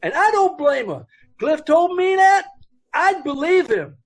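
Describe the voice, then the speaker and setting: Casting: a man doing a fretful middle-aged dance hall boss, high and lively pitch, rambling and ungrammatical, radio play. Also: measured pace, full character voice. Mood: anxious. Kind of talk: old-time radio drama recreation.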